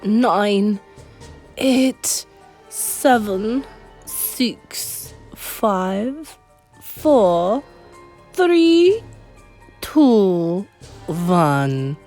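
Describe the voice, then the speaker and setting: A theatrical witch leader from a storybook, voiced by a woman, who drips false sweetness and then barks shrill orders. She stretches her words slowly and pushes them loud and high. Faint music plays behind her. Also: very animated, heavily acted. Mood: commanding. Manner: syrupy sweet turning to shrill commands. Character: menacing female witch leader, scheming and theatrical.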